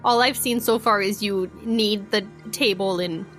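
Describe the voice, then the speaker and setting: A woman with a big voice playing a tabletop game, skeptical and quick to cut in. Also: medium pitch, steady tone, a bit bright, faint music behind. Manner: big voice, skeptical, talks over others. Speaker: player. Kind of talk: casual tabletop roleplay.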